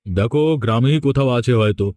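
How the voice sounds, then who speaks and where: A man's voice: mid-range voice, brisk, a little mellow, dry recording, close to an everyday voice. A man voicing a plain-spoken, fretful and scolding village man in a horror cartoon. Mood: impatient.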